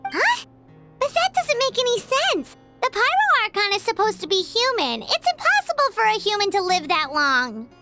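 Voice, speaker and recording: Squeaky, very high little companion. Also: female voice, sing-song, normal speed, exaggerated voice. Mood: astonished. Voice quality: squeaky, childlike. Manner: exclamatory, inquisitive. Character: tiny excitable childlike companion creature, female, curious. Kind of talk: video game voice acting. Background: game music.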